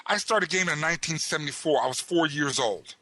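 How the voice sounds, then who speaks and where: A man's voice: a little high, fast, sharp tone. A man in his fifties with a Southern American accent, co-hosting a tabletop gaming podcast and ranting indignantly, repeating words as he goes. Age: 50s. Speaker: podcast co-host. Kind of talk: casual podcast discussion.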